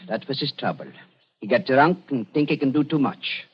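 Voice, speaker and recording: An actor playing a tired, sly older doctor who speaks broken English with a breathy voice, in 1940s radio drama style. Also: male voice, medium pitch, expressive, normal speed. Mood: weary. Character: weary older male German doctor, sly. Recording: dull old radio broadcast.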